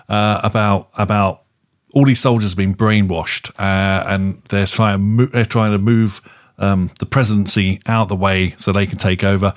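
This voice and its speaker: A man casually reviewing films as a podcast co-host, rambling and halting as he circles back over his phrases. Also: on the low side. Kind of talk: casual film review chat.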